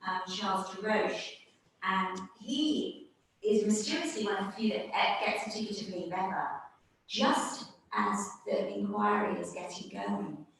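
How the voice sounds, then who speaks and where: A woman's voice: mid-range voice, measured pace, a bit bright. A woman joins a question session with chatty anecdotes. She sounds far off, her voice carried through a heavily echoing hall. A faint hum sits beneath her. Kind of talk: audience discussion. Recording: echoey hall, distant from the mic.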